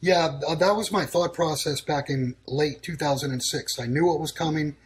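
A man's voice: a little high, normal speed, a little hiss or hum. A man speaking as a guest on a talk show, giving blunt, declarative warnings in short, clipped sentences. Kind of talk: casual talk-show answers. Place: in Texas.

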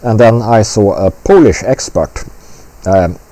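A man's voice: on the low side, a little slow, warm tone. A man giving casual political commentary in a blunt, rambling way, swearing freely.

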